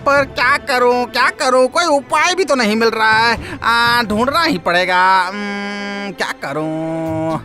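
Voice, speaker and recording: Lady doing a sneaky greedy shop guy for a kids cartoon, super slow, wheedling, repeats himself, music clearly behind. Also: on the high side, bright, forceful tone. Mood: scheming. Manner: drawn-out, repetitive muttering with sly chuckles. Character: greedy, scheming male shopkeeper, sly and wheedling. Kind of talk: cartoon moral-story acting.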